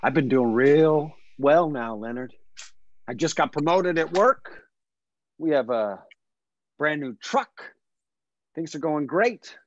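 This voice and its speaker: A defensive, eager-to-impress son in a table read. His voice sits a little high, tense and self-justifying. The sound is dry and slightly dull, as on a video call. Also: expressive, slow, pauses often, dry recording. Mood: tense, boastful. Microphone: computer mic on video call.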